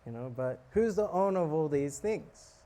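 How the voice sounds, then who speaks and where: Mellow-voiced man giving a casual sermon. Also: a little high, animated, normal speed. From South Africa. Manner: conversational, joking, asks the audience questions. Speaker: monk giving a scripture talk. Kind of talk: casual sermon to a live audience.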